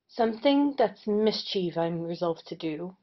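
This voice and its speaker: A woman's voice: on the low side, animated, a little slow, lo-fi sound. A woman playing a wounded, vengeful male parson in a period stage comedy, stiff and indignant.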